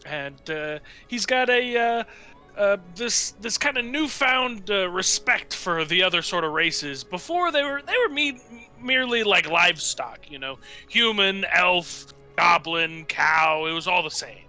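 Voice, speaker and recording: High-pitched, bright-voiced man improvising haltingly. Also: animated, clean tone, faint music behind. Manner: halting, hesitant delivery with tongue-in-cheek humor. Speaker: player in a tabletop roleplaying game. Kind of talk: improvised casual character description.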